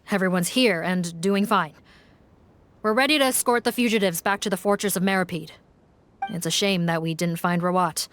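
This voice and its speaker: A woman's voice: lowish voice, fairly bright tone, slight background noise, close to an everyday voice. A woman voicing a dutiful, blunt female captain of guards in a video game, formal and serious. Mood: formal, grave. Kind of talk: video game character dialogue.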